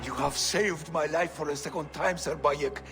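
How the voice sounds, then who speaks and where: A man's voice: fairly high pitch, steady tone, normal speed, long reverb tail, over music, lightly acted. An actor playing a flamboyant, fawning man who gushes grand gratitude in a video game. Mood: gushing gratitude.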